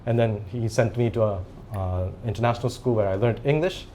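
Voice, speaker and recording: A man's voice: medium pitch, a little fast, dark tone, slight background noise. A man in his forties whose first language is Japanese, talking as a company CEO in a podcast interview, chatty and telling stories with small laughs. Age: 40s. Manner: chatty storyteller, laughs between phrases, rambling. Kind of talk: casual interview conversation.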